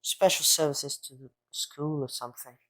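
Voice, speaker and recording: A woman's voice: sits low, fairly even intonation, normal speed, pushed and bright. A woman playing a plucky, teasing young boy wizard in an audio drama, with a dryly joking manner. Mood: dry, questioning.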